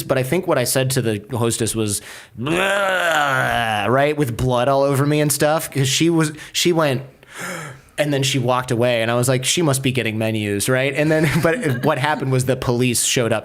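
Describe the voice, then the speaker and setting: A young adult man telling a travel story in a casual chat, animated and acting out the people he quotes. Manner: animated, acts out quoted voices with raised pitch.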